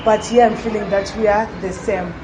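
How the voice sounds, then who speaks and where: A woman's voice: medium pitch, steady tone, normal speed. A woman teacher speaking steadily and sincerely in a documentary interview. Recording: clear background noise.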